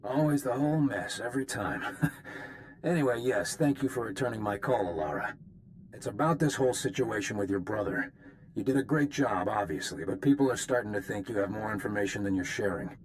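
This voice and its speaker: Brusque male officer, lowish voice, acted. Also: lively intonation, brisk, slight background noise, close to an everyday voice, poor audio. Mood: impatient. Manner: offhand, impatient. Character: weary, brusque male bureaucratic military officer. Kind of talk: video game dialogue acting.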